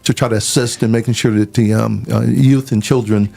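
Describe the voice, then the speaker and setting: A man, answering radio interview questions as a sheriff in a measured, folksy way with many pauses. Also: lowish voice, steady tone, creaky, warm tone. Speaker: sheriff guest.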